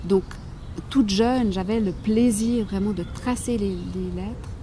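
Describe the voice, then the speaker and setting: A woman writer answering interview questions in a thoughtful, halting way, full of pauses and restarts. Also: medium pitch, background noise. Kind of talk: reflective interview talk.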